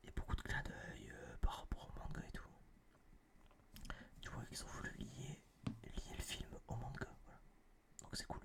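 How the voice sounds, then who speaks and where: A young man whispering close to a binaural microphone in a casual, rambling, blunt ASMR review style, with a breathy, unvoiced voice. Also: medium pitch, huge pitch swings, normal speed, pauses often. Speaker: ASMR channel host reviewing a film.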